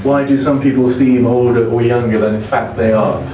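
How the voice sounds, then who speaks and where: A brisk Englishman in his thirties runs a rapid classroom drill, firing each question twice and feeding the students the start of their answers. He sounds far from the camera, in a very echoey small classroom with steady background noise. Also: medium pitch. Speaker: English teacher. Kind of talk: rapid drill-style language lesson. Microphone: camera across the room.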